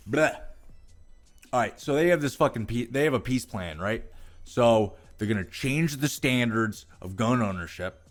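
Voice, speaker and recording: An American man reading aloud and ranting casually about it, with profane, exasperated and sarcastic commentary. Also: mid-range voice. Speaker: political commentary vlogger.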